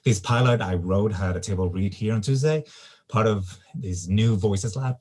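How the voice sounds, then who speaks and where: An actor at a table read playing a shy, gentle young student in a hesitant, understated way. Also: male voice, lowish voice, normal speed, natural voice. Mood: hesitant, friendly. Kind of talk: screenplay table read, naturalistic dialogue.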